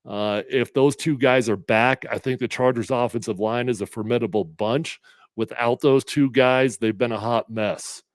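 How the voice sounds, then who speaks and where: A middle-aged man hosting casual sports talk, a little congested, energetic and emphatic as he reads comments and makes predictions. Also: mid-range voice, normal speed, no echo, clean studio sound. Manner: energetic, conversational, emphatic with fillers. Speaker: sports radio host previewing a game.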